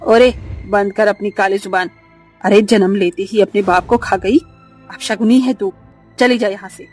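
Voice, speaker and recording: A spiteful village aunt in a cartoon tale, put on by a man. The voice sits high and breathy. She scolds harshly. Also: steady tone, moderate pace, darkish tone, soft background music. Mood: scornful. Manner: scornful, scolding. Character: harsh scolding middle-aged village woman.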